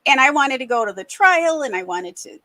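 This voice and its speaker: A woman's voice: high voice, animated, bright, forceful tone, no room sound. Earnest woman sharing a painful story. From Racine, Wisconsin, USA. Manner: earnest, reflective, halting. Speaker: podcast host. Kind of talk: candid personal conversation.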